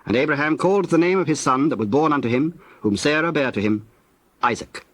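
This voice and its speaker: A man's voice: fairly high pitch, animated, fairly quick, dry recording. Solemn male narrator, dry sound. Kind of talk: scripted scripture narration.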